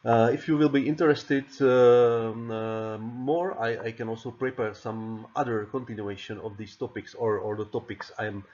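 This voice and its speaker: A man's voice: medium pitch, steady tone, moderate pace, hardly any pauses, darkish tone. A man from the Czech Republic with a Czech accent, a non-native speaker giving a recorded lecture over slides, stumbling over repeated words and fillers. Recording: dull lo-fi audio.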